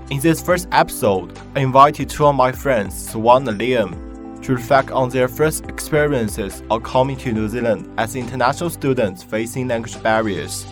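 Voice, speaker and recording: A young male host opens his podcast with a measured, scripted introduction. His voice sits at an ordinary middle pitch, and soft music plays faintly underneath.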